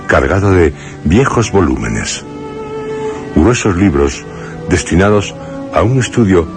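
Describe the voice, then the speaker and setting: An actor playing a grave male narrator in a suspense radio drama, with a measured, literary and solemn delivery. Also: very deep voice, exaggerated voice. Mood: grave, reflective. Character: grave male narrator recalling a troubled friend in suspense tale.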